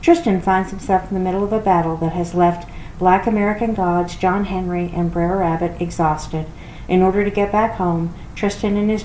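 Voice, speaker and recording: Lady reading a kids book out loud, kinda low voice, roomy sound w some noise behind. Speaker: children's library read-aloud reader. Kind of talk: expressive storybook read-aloud. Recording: roomy echo with clear background noise.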